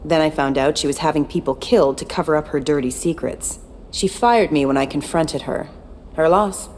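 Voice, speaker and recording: A woman's voice: deep voice, moderate pace, darkish tone, slight background noise, close to an everyday voice. A woman playing a tough, cynical former security chief in video game dialogue, blunt and guarded. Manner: blunt, matter-of-fact.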